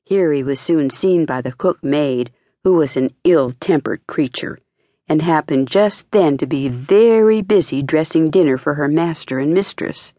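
A woman reading a children's fairy tale as an expressive audiobook narrator. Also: sits low, measured pace, no echo. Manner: expressive storytelling.

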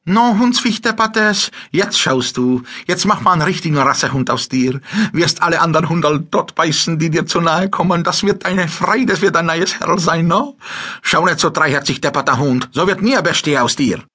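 An actor playing a chatty, simple-minded Czech ex-soldier and dog seller with a Bohemian-tinged Austrian accent, in a comic audiobook. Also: male voice, a little high, moderate pace, sharp tone. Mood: playful scolding. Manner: rambling, folksy, mock-naive.

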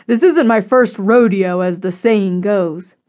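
A woman's voice: medium pitch, takes it slowly, a little mellow, natural voice. A woman narrator voicing a weary, wry, long-lived man in an audiobook story.

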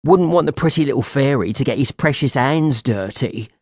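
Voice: medium pitch, lively intonation, moderate pace, darkish tone, dry recording, studio quality, close to an everyday voice. A storyteller voicing a vain, witty male fairy who mocks everyone in a theatrical way. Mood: mocking. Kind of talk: audiobook character voice.

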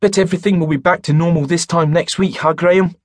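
A man's voice: on the high side, steady tone, quick pace, dry recording, clean studio sound, voice pushed up, close to an everyday voice. A male narrator voicing a weary, indifferent young man at a pub, dismissive and resigned in tone.

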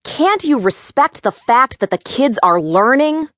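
A woman playing a spirited, defiant schoolteacher with an emphatic, deliberate delivery in a children's audiobook. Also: high-pitched, very animated, a bit bright, no echo, heavily acted. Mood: exasperated. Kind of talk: full-cast children's audiobook acting.

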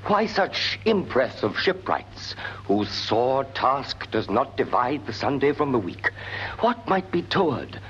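An actor playing an earnest soldier on night watch, speaking verse emphatically in a classical Shakespearean radio drama. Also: male voice, medium pitch, very animated, takes it slowly, a bit bright. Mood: urgent, questioning. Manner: emphatic, theatrical verse delivery. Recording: muffled vintage recording with hiss.

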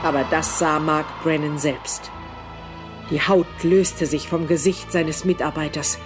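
A man's voice: a little high, fairly even intonation, measured pace. A man reading scripted, dramatic narration for a German horror audio drama. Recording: music behind the voice.

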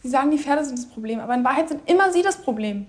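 A woman's voice: high-pitched, lively intonation, very fast. A young actress playing a stubborn, horse-loving teenage girl who speaks frankly. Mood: sulky.

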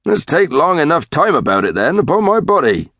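A man acting a hearty, exasperated country father, high and swooping. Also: sing-song, normal speed, fairly bright tone, no room sound, studio quality, full character voice. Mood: exasperated scolding. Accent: rural English West Country. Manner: sonorous, rustic, emphatic. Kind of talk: audiobook character voice.

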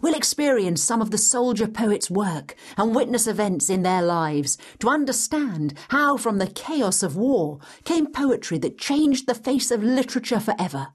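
A woman's voice: fairly high pitch, big pitch swings, normal speed, hardly any pauses. Welcoming female history guide. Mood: welcoming, explanatory. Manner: clear, explanatory. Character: welcoming guide through history, the Past Master. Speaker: narrator. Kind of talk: scripted educational narration.

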